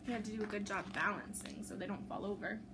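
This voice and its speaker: A woman reading a children's storybook aloud in an expressive, teacherly way, with simple chatty asides. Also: medium pitch, fast. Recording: clear background noise.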